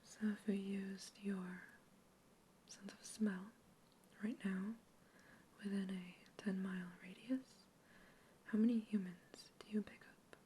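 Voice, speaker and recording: A woman whispering in an ASMR roleplay as a polite human researcher questioning a captive alien, gently curious and reassuring. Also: mid-range voice, fairly even intonation, slow, a little hiss or hum, lightly acted, poor audio. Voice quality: soft whisper throughout.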